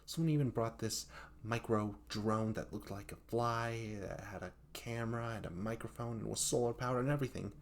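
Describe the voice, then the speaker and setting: A man's voice: mid-range voice, brisk, gravelly, slight background noise, natural voice. A man playing a hapless young male best friend in a comedic audio roleplay, rambling and stammering in a flustered, self-deprecating way. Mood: dejected, envious. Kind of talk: comedic audio roleplay monologue addressed to the listener.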